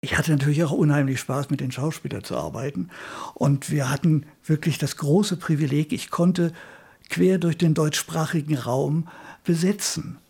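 An older man, a radio drama director, reminiscing conversationally in an interview with a raspy, creaky voice. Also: fairly high pitch, steady tone, normal speed, darkish tone.

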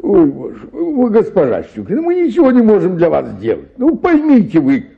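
A man's voice: sits high, huge pitch swings, brisk, creaky, husky, narrow, tinny audio, heavily acted. An actor playing an exhausted, ailing and irritable bank official in a theatrical radio play, groaning and sighing as he talks. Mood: exasperated.

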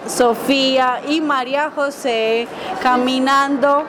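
A woman improvising a children's story as narrator, in drawn-out phrases with pauses between them. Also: high voice, fairly even intonation. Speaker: storyteller leading children in a radio play. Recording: noisy workshop recording with loud background crowd. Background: loud noise and crowd of people.

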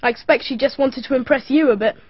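A woman's voice: high voice, fast, slightly rough, no room sound. A blunt young girl. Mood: dismissive. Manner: blunt, dismissive. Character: young girl, victim's sister, matter-of-fact. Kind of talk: BBC radio drama. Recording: dry, dull archive radio recording.

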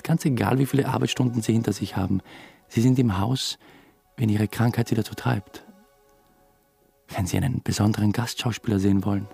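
A young man telling his story in a radio drama. The voice is low and husky with some fry. He speaks drily, with an understated, defensive edge. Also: mellow, exaggerated voice. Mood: grave narration. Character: young male theatre employee narrating his story, defensive, dry. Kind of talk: radio drama narration and dialogue.

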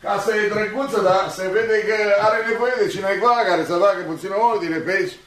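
An actor playing a loyal, plain-spoken helper in a radio drama, hearty and sincere. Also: male voice, high voice, steady tone, a bit bright, a little hiss or hum. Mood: sincere, eager. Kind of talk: Romanian radio drama acting.

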